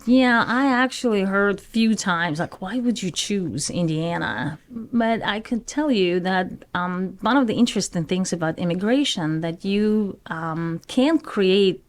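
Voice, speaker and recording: A woman's voice: mid-range voice, expressive, unhurried, hardly any pauses. A woman from Uzbekistan, a real estate broker chatting as a podcast guest in English. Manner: chatty, non-native phrasing. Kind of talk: casual podcast conversation.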